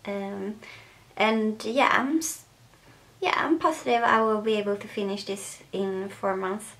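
Gravelly-voiced Swedish woman vlogging. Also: medium pitch, measured pace. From Sweden. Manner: hesitant, many fillers, soft laughs. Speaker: beauty vlogger. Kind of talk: casual makeup chat to camera. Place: bedroom, indoors.

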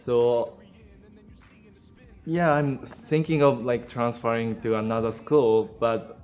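A young man from Tokyo speaking English with a Japanese accent in an interview, careful and halting. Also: medium pitch, flat delivery, darkish tone, distant mic, soft background music. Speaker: student.

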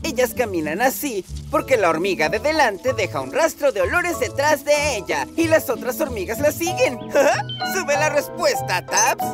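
Excitable cartoon boyish explorer, high pitch, lively swings, curious, friendly. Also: female voice, moderate pace. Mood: enthusiastic. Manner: wide-eyed, exclamatory, questioning. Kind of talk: children's cartoon dubbing. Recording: children's music behind.

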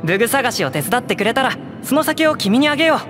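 A woman's voice: a little high, big pitch swings, quick pace. A woman voicing an earnest young male novice adventurer in an anime game, admiring and eager. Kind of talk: Japanese anime game voice acting. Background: game music.

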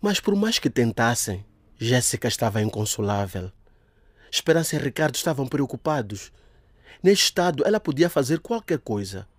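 A man's voice: mid-range voice, very animated, moderate pace, no room sound. A man narrating a radio drama from a script.

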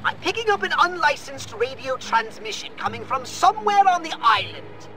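A man's voice: very high voice, big pitch swings, normal speed. An actor playing a pompous, theatrical male alien commander who speaks as a hologram in a video game. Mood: impatient. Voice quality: haughty, theatrical. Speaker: superior officer. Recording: game audio with faint music.

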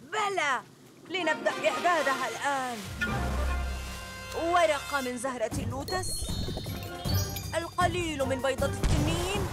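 A sneaky, boastful boy ninja villain from a dubbed cartoon, played by a woman. He gloats and teases in a fairly high voice. Loud background music plays under him. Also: normal speed, pauses often, close to an everyday voice. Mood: gloating. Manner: gloating, teasing. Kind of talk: cartoon dubbing.

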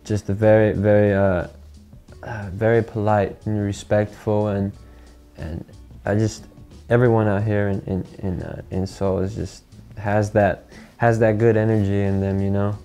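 A man's voice: on the low side, level tone, measured pace, mellow, soft background music. A young man from California answering interview questions hesitantly, filling with many ums and repeats, on a lapel mic. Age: young adult. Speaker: pro skateboarder.

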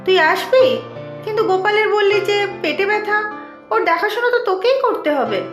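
Very high, gentle housewife. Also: female voice, lively intonation, fairly quick. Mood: concerned. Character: gentle, caring young village housewife waiting for husband. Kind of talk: Bengali cartoon voice acting. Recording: roomy echo, faint music bed. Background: music.

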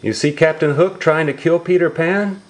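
A man reading a picture book aloud to young children, explaining it in simple, repeated, drawn-out words. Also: fairly high pitch. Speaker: storybook reader for young relatives.

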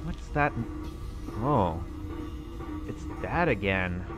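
A man's voice: lowish voice, animated, extremely slow, music in the background. A young man roleplaying through a survival game, musing aloud to himself with nervous outbursts. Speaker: gaming YouTuber roleplaying a character. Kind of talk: in-character gameplay commentary.

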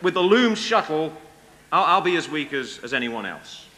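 A man's voice: on the high side, very animated, normal speed, pushed and bright. A man playing a bumbling, exasperated strongman with hesitant, repetitive delivery in a comic Bible story reading. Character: exasperated, bumbling strongman hero, easily worn down. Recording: echoey hall, clear background noise.

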